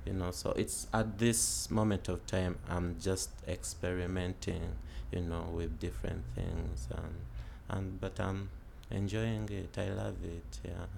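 Interviewee: Ugandan-born man, late twenties, very low gravelly voice, slow and halting, faint background hiss. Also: flat delivery, darkish tone. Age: 20s. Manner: hesitant, many fillers and restarts. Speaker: interviewee, art student. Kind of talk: casual oral history interview.

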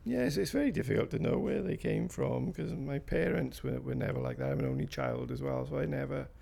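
A man's voice: mid-range voice, animated, fairly quick, vocal fry, warm tone, breathy edge. A man in his fifties with a South Wales Valleys accent, answering interview questions in a hesitant, rambling way with fillers and restarts. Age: 50s. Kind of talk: recorded interview.

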